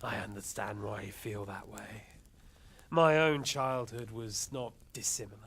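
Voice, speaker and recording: An actor playing a smug, cruel warlord who taunts his captive in a theatrical, mock-polite, deliberate way, as in a video game cutscene. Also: lowish voice, steady tone, a bit bright, breathy edge. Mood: mock-polite menace.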